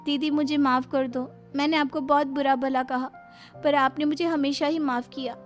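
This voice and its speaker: A vain young city woman in a bedtime story, acted out by a female narrator, speaks in a high, excitable voice. She is boastful and easily flustered, with dramatic delivery over faint music. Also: normal speed, natural voice. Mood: apologetic, pleading. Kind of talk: storybook character voicing.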